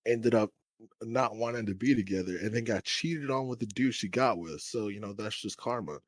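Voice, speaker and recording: Young guy on a podcast, lowish voice, chill and teasing, dry close sound. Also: normal speed, dry recording. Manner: laid-back, teasing, swears freely. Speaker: podcast co-host. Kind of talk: casual chat.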